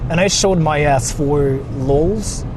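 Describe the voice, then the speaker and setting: A man's voice: on the high side, takes it slowly. A man livestreaming from a moving car, chatting casually in a rambling, repetitive way. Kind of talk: casual livestream chat while driving. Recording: loud car and road noise. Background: vehicle driving noise.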